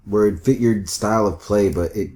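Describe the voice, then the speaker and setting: Man explaining games in a mellow voice. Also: fairly low pitch, normal speed. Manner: explains at length, matter-of-fact. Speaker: co-host. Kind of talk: casual gaming discussion.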